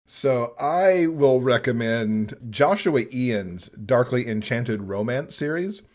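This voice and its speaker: Man with mid-pitched voice, chatting casually. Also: animated, takes it slowly, darkish tone. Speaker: podcast guest. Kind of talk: casual book recommendations.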